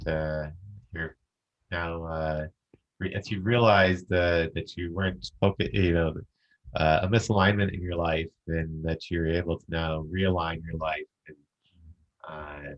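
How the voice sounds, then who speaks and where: A man hosting a business podcast, asking his guest relaxed questions with frequent fillers. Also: sits low, lo-fi sound. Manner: scripted intro, then relaxed questions with fillers. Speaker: podcast host. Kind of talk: podcast interview.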